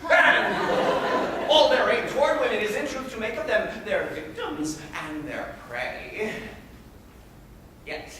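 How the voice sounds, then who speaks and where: A man's voice: very high voice, sing-song, takes it slowly, pauses often, pushed and bright, a little hiss or hum, full character voice. An actor playing a pompous, controlling middle-aged male guardian, declaiming rhyming verse in a stage monologue. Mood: smug. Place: high school auditorium. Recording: echoey hall from far off.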